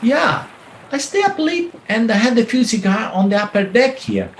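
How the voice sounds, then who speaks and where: A man's voice: very high-pitched, very animated, normal speed, heavily acted. A man playing the defensive, protesting husband of a missing woman in a radio play. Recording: echoey room, faint background noise.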